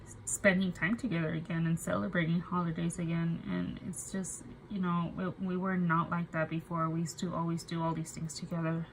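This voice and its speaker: A woman's voice: on the low side, a little hiss or hum, low-quality recording. A young woman with a cold and a nasal voice, talking casually to camera and often stopping to restart her sentences with fillers. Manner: halting, soft, with many fillers and restarts. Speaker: budgeting vlogger. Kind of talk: casual personal talk to camera.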